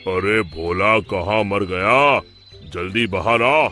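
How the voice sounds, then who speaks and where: A man voicing a greedy, bossy village shopkeeper in a cartoon story, blustering with drawn-out exclamations. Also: lowish voice, pushed and bright.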